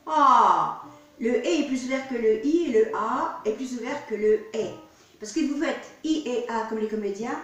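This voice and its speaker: A woman singing teacher with a cold, explaining vocal technique to her students in a demonstrative way, often repeating her words. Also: on the high side. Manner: demonstrative, repeats words, talks through gestures. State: has a cold. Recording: echoey room, distant mic.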